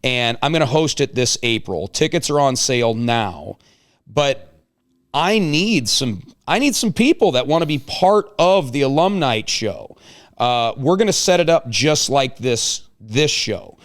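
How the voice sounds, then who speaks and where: A man's voice: medium pitch, lively intonation, a little slow. A man hosting a casual talk show, chatting with guests in a playful, joking way. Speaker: show host.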